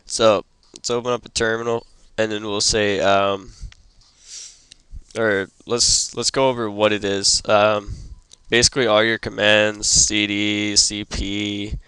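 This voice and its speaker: A young man who is sick and losing his voice, hosting a casual computer tutorial and stopping often with ums and throat clearing. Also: lowish voice, little pitch movement, measured pace, sharp tone, no room sound. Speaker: tutorial host. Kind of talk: casual screen-recorded tutorial.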